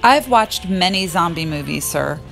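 A woman's voice: lowish voice, expressive, moderate pace, creaky, a bit bright, soft background music. A woman playing a matter-of-fact security lieutenant in a comic horror audio drama.

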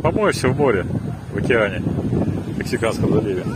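A man's voice: on the low side, animated, fairly quick. A man vlogging casually from behind a handheld camera on a windy beach, commenting on what he films. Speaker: family vlogger filming. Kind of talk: casual chat, commentary while filming. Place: windy open sea beach. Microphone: handheld camera or phone, speaking from behind it. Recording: loud wind and surf noise.

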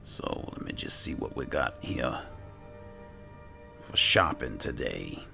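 A man's voice: a bass voice, lively intonation, slow, vocal fry, bright, forceful tone. A man narrating his roleplay gameplay, musing aloud in a hesitant mutter that often trails off. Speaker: gaming host roleplaying his character. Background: music.

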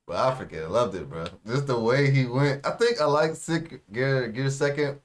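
Young american dude doing casual anime reaction talk, lots of slang. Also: male voice, medium pitch, normal speed. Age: young adult. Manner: slangy, informal. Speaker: anime reaction video host. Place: small indoor room, seated.

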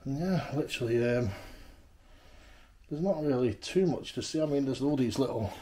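A man's voice: mid-range voice, moderate pace, mellow, breathy edge. A man narrating a walkthrough of an abandoned house in an offhand, chuckling way, recorded on a handheld camera indoors. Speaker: urban explorer vlogger. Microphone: camera mic, handheld walkthrough.

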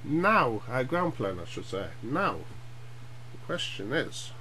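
Slow-talking man, bright noisy recording. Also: mid-range voice, very animated. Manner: halting, thinking aloud. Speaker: hobbyist presenter demonstrating radio gear. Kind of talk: casual hands-on demonstration chat. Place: small room at home and back garden. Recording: noisy amateur camera audio.

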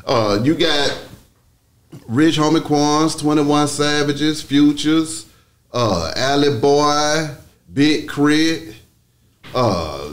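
A man's voice: fairly high pitch, expressive, very slow. A man around his forties with a Southern US accent, telling stories as a radio DJ in a podcast interview, casual and slangy, on a close studio mic. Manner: casual, slangy, frequent swearing. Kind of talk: animated podcast storytelling.

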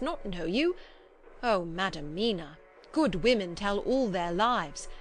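Woman performing an effusive old foreign gentleman doctor. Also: medium pitch, lightly acted. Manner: effusive, emphatic, formal broken English. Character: kindly old foreign male doctor, effusive, solemn, courtly.

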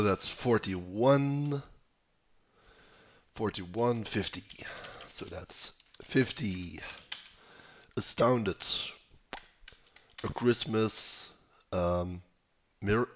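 A young man with a raspy voice, thinking aloud and muttering as he works through a crossword in a small room, with keyboard clicks behind him. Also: fairly low pitch, very slow, pauses often. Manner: mutters, hesitates, trails off while thinking. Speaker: puzzle video host. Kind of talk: casual think-aloud while solving a crossword.

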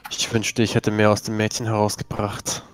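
A man's voice: fairly low pitch, completely flat delivery, moderate pace. A man speaking in character in a tabletop role-playing game, hesitant and searching for words.

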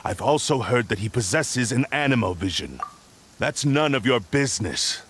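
A man's voice: medium pitch, big pitch swings, moderate pace, fairly bright tone, slight background noise. An actor playing a cold, dismissive swordsman in a video game, speaking in a curt, disdainful way.

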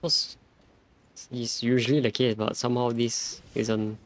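A man's voice: mid-range voice, flat delivery, takes it slowly, slight background noise. A young man giving casual reaction commentary as he streams a game. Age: young adult. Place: indoor room at a computer.

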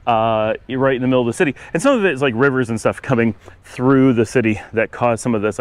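A man narrating a casual walking-tour vlog outdoors, rambling and thinking aloud as he goes. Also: medium pitch. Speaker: travel vlogger. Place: outdoors, walking on residential city streets.

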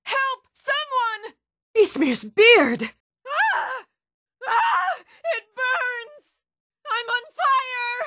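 A woman's voice: very high-pitched, big pitch swings, very slow, pushed and bright, dry recording, raised voice, clean studio sound, exaggerated voice. A woman playing a stern, challenging Nord townswoman ready for a fight in a fantasy video game. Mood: alarmed. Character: fierce, even-tempered Nord townswoman warrior, commoner in a fantasy world. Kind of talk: fantasy video game combat and reaction lines.

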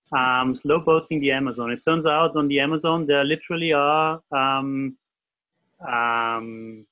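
A man in his forties from Austria with an Austrian German accent, talking as a guest in a casual interview over a video call, hesitant, with many fillers and restarts. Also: fairly high pitch. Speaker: guest illustrator. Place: home room at a desk. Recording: dull video call audio.

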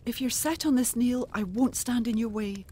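An actress playing a gentle, devoted, worried wife in an audio drama, soothing and earnest. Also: female voice, a little high, moderate pace, slight background noise, lightly acted. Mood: tender, worried. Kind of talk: full-cast audio drama acting.

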